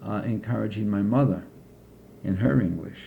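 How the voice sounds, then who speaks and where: An older man from the United States, recalling his childhood slowly and carefully in an oral history interview. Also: fairly low pitch, vocal fry, narrow, tinny audio, a little hiss or hum. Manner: slow, measured recollection with frequent pauses.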